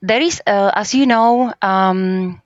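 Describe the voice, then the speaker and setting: A woman's voice: medium pitch, takes it slowly, no echo, lo-fi sound. A middle-aged woman from Slovakia with a Slovak accent, speaking English as a guest in a podcast interview with many fillers and tag questions. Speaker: business services team leader, guest.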